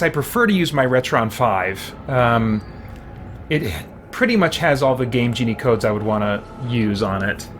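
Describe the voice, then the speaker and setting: A man's voice: medium pitch, noisy background. A man chatting casually with viewers as he plays a game on a livestream, rambling in a playful, self-deprecating way. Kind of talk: casual gameplay chat with viewers. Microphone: streaming setup, headphones on. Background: game music.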